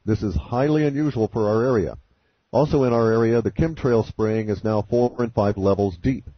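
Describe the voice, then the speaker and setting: A man with a fairly low, somewhat rough voice lays out his forecasts in formal, technical language. He speaks deliberately, like someone dictating statements. The sound is dull and dry, as on a conference call. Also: no room sound. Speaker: interview guest presenting his forecasts. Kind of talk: measured conference-call exposition. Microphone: conference call line.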